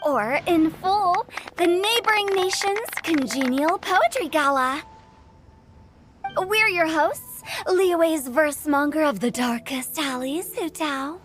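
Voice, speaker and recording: A woman's voice: extremely high pitch, animated, slow, fairly bright tone, soft background music, heavily acted. A woman voicing an impish, theatrical, playful young female poet in a fantasy video game. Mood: showy.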